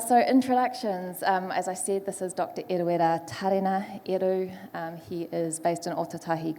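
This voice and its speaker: A woman's voice: on the low side, dark tone. A woman with a New Zealand accent, speaking as a moderator introducing a live panel. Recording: echoey hall, faint background noise.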